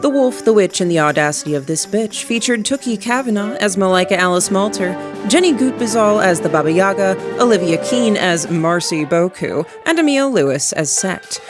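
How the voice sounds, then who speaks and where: A woman announcer reads scripted closing credits. Her voice sits at an average pitch, and music plays prominently behind her. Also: expressive, normal speed, few pauses.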